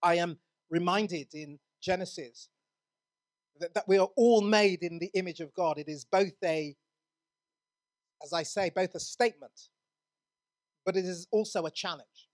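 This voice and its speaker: A man's voice: sits high, a little slow, long pauses. A man from England preaching a sermon in a church, earnest, often restarting his words.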